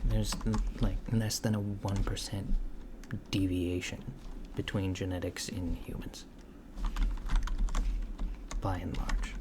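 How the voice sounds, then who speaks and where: A man playing a curious, puzzled alien researcher in a soft-spoken ASMR roleplay, halting and matter-of-fact, often slipping into whispers. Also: low-pitched, steady tone. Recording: clear background noise with keyboard typing. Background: computer keyboard typing.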